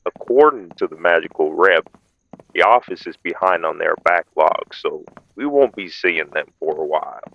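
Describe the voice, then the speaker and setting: A slightly muffled recording carries a man playing a vampire hunter in an audio drama. His voice is deep and matter-of-fact. He sounds businesslike, worn down and frustrated as he reports. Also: animated, moderate pace, vocal fry, a little hiss or hum. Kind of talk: audio roleplay acting.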